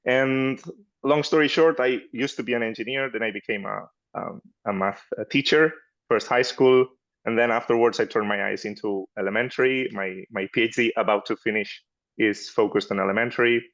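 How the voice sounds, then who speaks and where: A man's voice: mid-range voice, normal speed, fairly bright tone, highs cut off. A man in his thirties from Spain with a Spanish accent, speaking as a specialist guest on a webinar and searching for words now and then. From Barcelona, Spain. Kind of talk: conversational webinar introduction.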